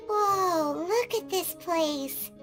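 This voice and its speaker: A woman voicing a tiny, excitable fairy-like companion with a chirpy, squeaky voice in a video game. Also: drawn-out words, over music, heavily acted. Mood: curious, amazed. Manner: squeaky, wide-eyed and chirpy.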